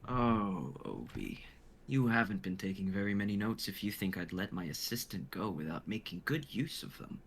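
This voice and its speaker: A man playing a coldly menacing older scientist in a live drama reading, lecturing in a deliberate, theatrical way. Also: fairly low pitch, sing-song, gravelly, slight background noise. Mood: cold, knowing.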